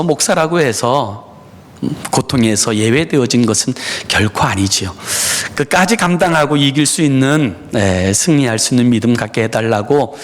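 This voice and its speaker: A middle-aged male pastor gives a Sunday sermon in his church. He speaks to the congregation in an earnest, personal way and often pauses on "uh". His pitch moves up and down a lot as he talks. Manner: frequent "에" fillers, personal and earnest, addresses congregation. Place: church sanctuary.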